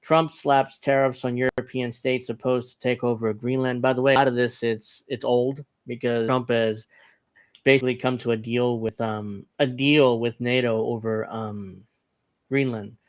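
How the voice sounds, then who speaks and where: A man's voice: mid-range voice, flat delivery, normal speed. A man in his thirties hosting a casual news panel show, talking in a rambling way with many restarts and fillers. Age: 30s. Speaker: podcast host.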